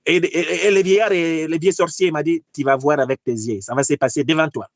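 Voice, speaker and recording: A man's voice: a little high, fairly even intonation, a little fast, dry recording, studio quality, lightly acted. A man playing an anxious, superstitious ruler desperate to keep power in a satirical skit, stammering and repeating words.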